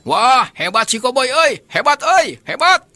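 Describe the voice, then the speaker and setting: A man voicing excitable, taunting village children in a comic folk tale, with a Sundanese accent. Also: very high voice, measured pace, no room sound, pitched above the performer's own voice, heavily acted. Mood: excited cheering. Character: jeering young village children in the crowd. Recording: noisy, hissy recording.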